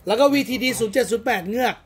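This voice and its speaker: Fast-talking teasing Thai shop owner. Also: male voice, extremely high pitch, slight background noise. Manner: crude, teasing, rapid chatter. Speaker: shop owner and channel host. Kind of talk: casual banter over a card game. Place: card shop table.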